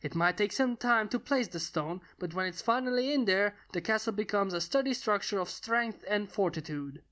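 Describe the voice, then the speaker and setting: A woman's voice: mid-range voice, animated, moderate pace, hardly any pauses, a bit bright, close to an everyday voice. A woman voicing a stern, blunt male lord who speaks solemnly and commandingly in a fantasy story.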